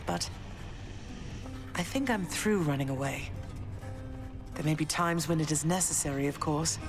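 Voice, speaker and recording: A mature goddess queen in a video game, her voice low for a woman. She is gentle, dignified and motherly, speaking with composed, thoughtful grace over clearly audible music. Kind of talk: video game character dialogue. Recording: music behind the voice.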